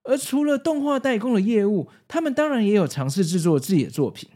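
Animated Taiwanese man with a lively pitch. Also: fast talker. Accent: Taiwanese Mandarin. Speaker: podcast host. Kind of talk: podcast narration with casual commentary.

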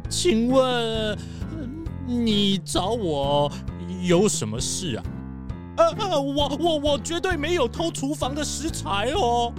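A woman's voice: a little high, very animated, slow, over music. A woman playing a timid male gibbon gardener who hesitates and stammers secretively, in a children's audio drama. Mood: nervous.

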